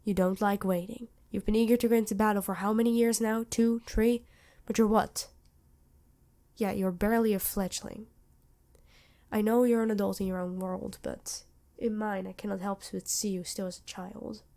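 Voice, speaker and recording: A woman playing an ancient, motherly vampire queen in an ASMR roleplay, speaking formally and tenderly in measured phrases with pauses. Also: medium pitch, little pitch movement, mellow, natural voice. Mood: fond, reluctant. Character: centuries-old vampire queen, motherly, regal, protective and worried.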